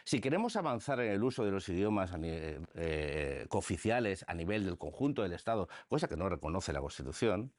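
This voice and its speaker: A man's voice: low-pitched, very animated, a little fast, hardly any pauses, no echo. A middle-aged man, a politician in a radio interview, arguing with emphatic rhetorical questions and frequent restarts. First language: Spanish.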